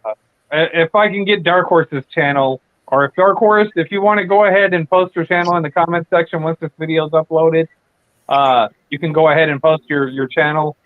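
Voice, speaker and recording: Dude hosting a late night stream, kinda high voice, rambly and cussing, sounds like a phone line. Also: male voice, fairly even intonation, a little fast, no echo. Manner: rambling, profane, many fillers and restarts. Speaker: channel host. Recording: tinny dry phone-like audio.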